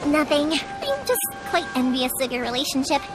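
A woman voicing a talkative, eager young fireworks maker in a video game, expressive and rambling. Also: high-pitched, moderate pace. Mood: cheerful. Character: bubbly, chatty young fireworks-maker girl, warm and eager. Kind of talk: video game character acting. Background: game background music.

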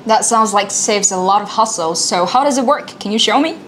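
A woman's voice: mid-range voice, a little fast, a bit bright, a little hiss or hum. A woman in her thirties co-presenting in a scripted product dialogue, responsive and inquisitive. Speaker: co-presenter asking questions.

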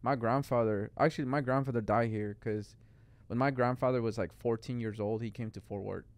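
Casual young male guest from Mexico, clear smooth tone, rambling chat. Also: mid-range voice, fairly even intonation, a little fast, no echo. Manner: rambling, lots of "like" and "bro". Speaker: guest artist. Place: podcast studio. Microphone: studio mic on boom arm.

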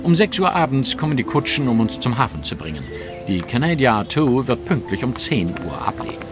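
An actor playing a shrewd, commanding master detective in an audio drama, speaking in a precise, formal, instructive manner. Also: male voice, medium pitch, very animated, brisk, over music, natural voice. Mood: brisk, instructing. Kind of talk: German audio drama acting.

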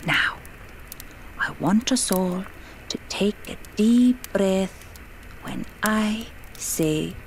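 A woman's voice: mid-range voice, drawn-out words, long pauses, a little hiss or hum, low-quality recording. A woman playing a commanding, mysterious spirit medium of the 1920s in a horror audio drama, with formal, theatrical diction. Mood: slow, hypnotic. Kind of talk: dramatic horror podcast acting.

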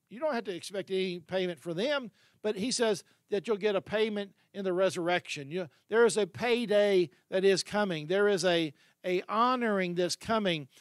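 A man's voice: high-pitched, studio quality. An older man preaching a sermon as pastor, earnest, with fillers and repeated words, holding a handheld mic in a large unfinished hall.

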